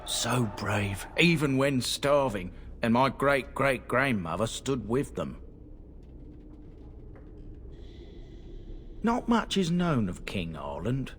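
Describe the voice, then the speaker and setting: A man's voice: mid-range voice, sing-song, slow pace, few pauses, fairly bright tone, slight background noise. An actor playing a garrulous, uneasy male guide in a fantasy game, talking informally. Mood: wistful, chatty. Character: chatty, uneasy male merchant guide, history buff. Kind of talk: fantasy video game dialogue.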